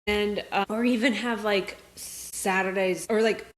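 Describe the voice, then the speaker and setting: A woman's voice: mid-range voice, fairly even intonation, unhurried, roomy sound, poor audio. A woman in her thirties co-hosting a podcast, chatting informally and reading comments aloud with wry, self-deprecating asides. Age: 30s. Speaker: podcast co-host. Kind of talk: casual chat with dramatic reading of online comments.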